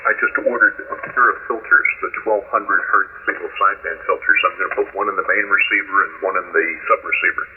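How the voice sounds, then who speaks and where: A man's voice: low-pitched, fairly even intonation, fast talker, hardly any pauses. A man chatting casually as an amateur radio operator over a shortwave transmission. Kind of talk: casual ham radio chat. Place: over a ham radio link. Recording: noisy, narrow shortwave radio audio. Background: radio static.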